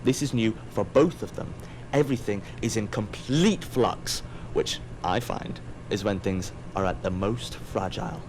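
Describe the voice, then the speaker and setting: A man's voice: lowish voice, measured pace, few pauses, a little mellow, slight background noise, lightly acted, poor audio. A man in an audio drama playing an aloof, wry, digressive otherworldly narrator who muses on mortals. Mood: wry, intrigued. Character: aloof, musing otherworldly narrator observing mortals, easily distracted.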